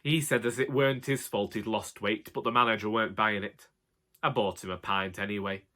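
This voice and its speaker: A man's voice: fairly low pitch, fairly even intonation, a little fast, sharp tone. A man playing a henpecked older husband with a Northern English accent, telling comic tales in a wry, exasperated, colloquial way. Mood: dry, wry. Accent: Northern English, Cheshire. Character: grumbling henpecked old Northern husband, dryly comic storyteller. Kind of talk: comic dramatic monologue narration.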